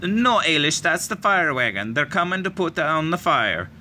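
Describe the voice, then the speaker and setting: A man's voice: a little high, very animated, normal speed, sharp tone, a little hiss or hum, pitched above the performer's own voice, heavily acted. A man voicing a brave older Irish girl who speaks urgently and soothingly.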